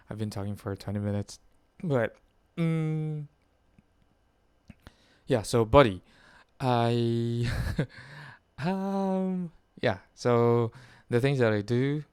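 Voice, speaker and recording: A man in his twenties from the Philippines rambles through a personal update, pausing often and correcting himself. His voice sits a little low and comes across very clean. It is recorded close and dry, with no room sound. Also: clear, resonant tone, dry recording. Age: 20s. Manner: hesitant, full of fillers and self-corrections. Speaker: vlogger. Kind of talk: rambling personal chat to camera.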